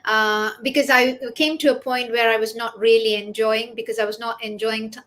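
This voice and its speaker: A woman with a distinctly high-pitched voice hosts an online interview. She talks in a chatty, conversational way as a business coach, pausing and doubling back on words as she goes. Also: steady tone, a bit bright.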